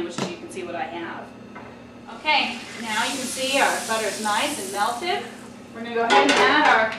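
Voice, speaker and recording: Roomy, noisy kitchen recording of an American woman. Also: a little high. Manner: chatty, instructive, addresses viewers directly. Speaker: cooking show host and chef. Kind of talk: casual cooking demonstration. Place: home-style kitchen at the stove, indoors. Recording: roomy, distant mic, loud kitchen noise. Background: sizzling pan, clattering pots and stirring.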